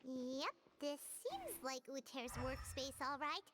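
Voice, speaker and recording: A woman voicing a small, excitable, easily spooked fairy girl companion in a video game, with a squeaky childlike voice. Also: takes it slowly, background noise, heavily acted. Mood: curious. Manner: exclaiming, refers to self in third person.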